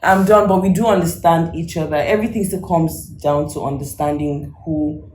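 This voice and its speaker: A woman from Nigeria, co-hosting a casual couples chat, talking in an animated, emphatic way. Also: sits low, moderate pace, echoey room, a little hiss or hum. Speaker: co-host.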